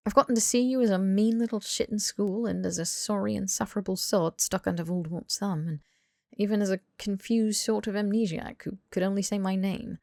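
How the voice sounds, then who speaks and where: A woman's voice: mid-range voice, steady tone, a little fast, gravelly, mellow, no room sound, natural voice. Woman doing a young british guy in an audiobook, exasperated and earnest, emphatic, very dry recording. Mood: earnest, tentative. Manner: emphatic, sweary, rambling tirades. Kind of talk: dramatic audiobook dialogue.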